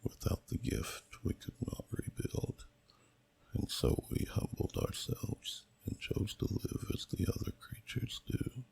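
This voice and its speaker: A man voicing an ancient, solemn dragon who slowly tells a story in a deep, gravelly, breathy half-whisper, in ASMR style. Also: huge pitch swings, a little mellow, a little hiss or hum, heavily acted. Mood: grave, mournful. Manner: slow, solemn, deliberate, archaic phrasing. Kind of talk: ASMR storytelling, scripted narration.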